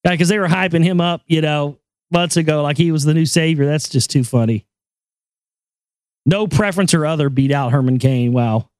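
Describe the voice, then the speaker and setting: Crude high-voiced male talk host. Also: moderate pace, a little mellow, no room sound. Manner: crude, jokey, rambling. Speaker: show host. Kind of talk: casual talk show monologue.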